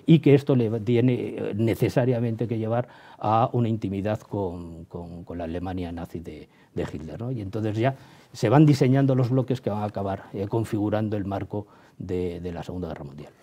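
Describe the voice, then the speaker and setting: Mellow-voiced Spanish man, halting academic explainer. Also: lowish voice. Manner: halting, searching for words, seeking agreement with tag questions. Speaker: history professor, interviewed guest. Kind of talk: explanatory historical commentary in an interview.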